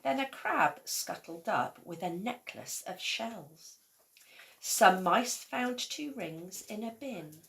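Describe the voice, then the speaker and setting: A woman reading a children's story aloud in a measured, expressive storytelling manner, like a primary school teacher. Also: mid-range voice, vocal fry.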